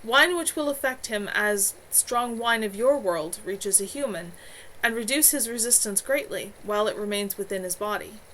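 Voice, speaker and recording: A woman voicing a male demon in a storybook, formal and deliberate as it bargains with its summoners. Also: medium pitch, animated, a bit bright, lightly acted. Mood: eager, bargaining. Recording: clearly noisy home livestream.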